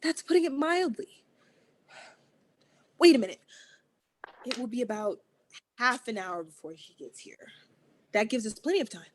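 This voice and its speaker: A woman's voice: a little high, animated, moderate pace, pauses often. A woman reading the part of a scheming teenage girl who begs her friend for a favor, at a table read. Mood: pleading.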